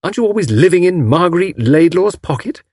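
A man voicing a lively, cheeky young woman who teases playfully. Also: high-pitched, a little fast, breathy edge, no room sound, clean studio sound, voice pushed up. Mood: teasing. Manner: bright, playful, teasing.

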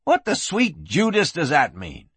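A man's voice: fairly high pitch, wildly swooping pitch, unhurried, a bit bright, husky, no echo, voice pushed up, full character voice. A man voicing a hot-headed, blustering cowboy gunslinger in an audiobook Western, full of outrage and frontier slang. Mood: outraged.

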